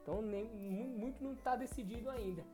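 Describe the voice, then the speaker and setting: Young Brazilian man, podcast co-host, warm mellow tone, chatty results rundown, trips over foreign names. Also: high-pitched, a little fast, faint music behind. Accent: Brazilian Portuguese. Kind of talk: casual sports podcast chat.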